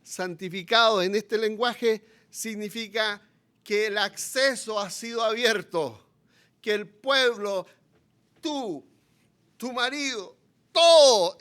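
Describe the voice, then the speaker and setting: Slow, high-voiced Chilean preacher. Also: male voice. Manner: impassioned preaching, swinging between loud appeals and quiet, low passages. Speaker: pastor. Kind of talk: sermon.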